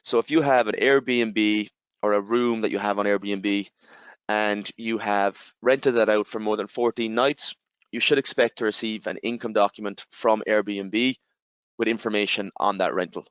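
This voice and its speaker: A man with an Irish accent, giving a webinar presentation and explaining step by step, with steady fillers and repeated "again". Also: on the low side, normal speed, smooth, no echo. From Ireland. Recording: slightly dull, dry webinar audio.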